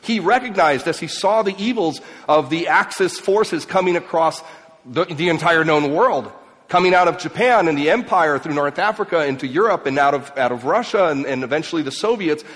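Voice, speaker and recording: An emphatic man who grew up in Mexico and Ecuador addresses a live audience. His voice sits a little higher than most men's and swings from forceful to hushed. A long hall reverb trails each phrase. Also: expressive, moderate pace. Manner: impassioned, emphatic, swings between loud and quiet. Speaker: speaker. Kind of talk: speech to an audience.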